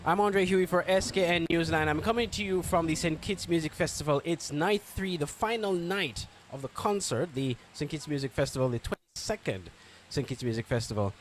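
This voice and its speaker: A man's voice: on the high side, normal speed, slight background noise. A man giving an unscripted news report on location at a music festival. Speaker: field reporter. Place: at a live music festival at night. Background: festival concert music.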